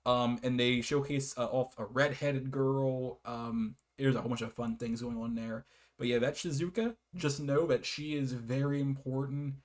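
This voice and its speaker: Guy doing a casual game review, mid pitched voice, keeps repeating and correcting himself, chuckly. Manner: hesitant, self-correcting, often repeats and backtracks; chuckles often while talking. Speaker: video game reviewer and host. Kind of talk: casual rambling review commentary.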